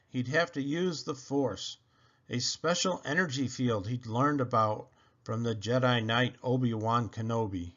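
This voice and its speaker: Male storybook reader, unhurried, dramatic stresses, slightly muffled lo-fi recording. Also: mid-range voice, a bit bright. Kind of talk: scripted read-aloud storytelling. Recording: dull, lo-fi sound.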